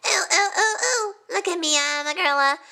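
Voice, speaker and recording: A woman's voice: very high-pitched, normal speed, bright, forceful tone, voice pushed up. A woman reading a storybook, voicing a mean boy bully who mocks and taunts other children with ape-like whooping. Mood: jeering, mocking.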